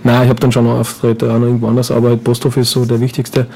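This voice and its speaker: A man's voice: medium pitch, completely flat delivery, rapid-fire, gravelly, warm tone, slight background noise. A man with an Upper Austrian accent chatting casually as a guest in a radio studio, rambling and joking with lots of fillers. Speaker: guest performer.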